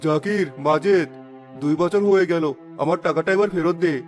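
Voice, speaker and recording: A man's voice: fairly high pitch, fairly even intonation, normal speed, a little mellow, close to an everyday voice. A man playing an earnest, emphatic village shopkeeper in a cartoon story, over faint music. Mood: stern. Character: honest generous village cloth merchant, later a vengeful restless ghost.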